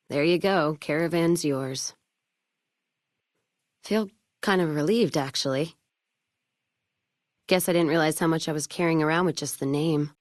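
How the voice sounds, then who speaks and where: A woman's voice: deep voice, dry recording, clean studio sound. A woman playing a tough, hard-drinking caravan trader in a video game, blunt and wry, with folksy, clipped talk. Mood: wry, resigned. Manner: plain-spoken, folksy drawl of clipped sentences, swearing. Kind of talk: video game character voice acting.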